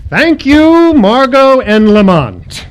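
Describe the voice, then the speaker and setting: Very high-pitched male radio announcer. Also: big pitch swings, takes it slowly, rough recording. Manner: theatrical, effusive introductions. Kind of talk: old-time radio announcing. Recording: music behind, live stage performance.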